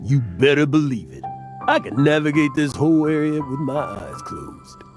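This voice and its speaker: A man's voice: a little high, animated, normal speed, music in the background, close to an everyday voice. A man playing a stammering, boastful old adventurer. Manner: stammering and boastful, then mournful. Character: aging boastful male adventurer, guilt-ridden. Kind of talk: video game voice acting.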